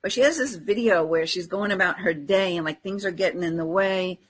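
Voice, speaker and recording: A woman with a low-clarity, distinctly husky voice speaks as a podcast co-host. Her delivery is casual and earnest, like an unscripted chat, and she often checks that her listener agrees. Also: fairly quick.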